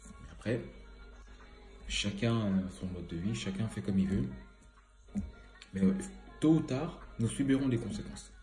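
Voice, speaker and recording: A man's voice: fairly low pitch, lively intonation, measured pace, long pauses, warm tone, roomy sound, faint music behind. A man with a cold and a slightly stuffy voice, giving a casual, rambling commentary full of hesitations and fillers. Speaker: environmental vlogger.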